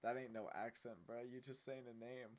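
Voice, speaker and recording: A young man co-hosting a casual podcast, throwing out deadpan, teasing jokes. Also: mid-range voice, a little fast. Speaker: podcast co-host. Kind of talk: joking casual podcast chat.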